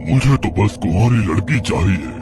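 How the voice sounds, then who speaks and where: A man voicing a contemptuous, commanding male jinn who threatens slowly and deliberately in a horror audio drama. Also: on the low side, animated, noisy background, close to an everyday voice. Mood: warning. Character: menacing, commanding male jinn demanding human sacrifices.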